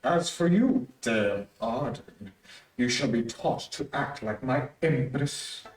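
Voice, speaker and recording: A man's voice: medium pitch, lively intonation, slow pace. A man playing a villainous, pompous tyrant emperor in an old-time radio serial, commanding and menacing. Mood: cold menace. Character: imperious villainous male tyrant emperor of a space empire. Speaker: amateur radio play actor. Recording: echoey, distant streamed stage audio.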